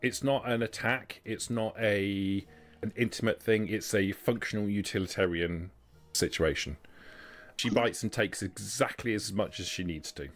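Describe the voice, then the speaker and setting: A man working as game master, improvising narration for a tabletop game in a deliberate, explanatory way. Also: fairly low pitch, soft background music.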